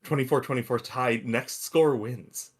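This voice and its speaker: A man's voice: medium pitch, unhurried, breathy edge. A man playing an irreverent slacker space probe in a podcast table read, casual, flippant and rambling. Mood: casual, eager.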